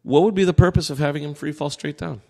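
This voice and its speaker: A man's voice: a little high, steady tone, quick pace, gravelly, dark tone. Skeptical man debating in a reverberant room. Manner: skeptical, challenging, questioning. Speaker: podcast co-host. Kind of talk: casual debate conversation. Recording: reverberant hall-like room echo.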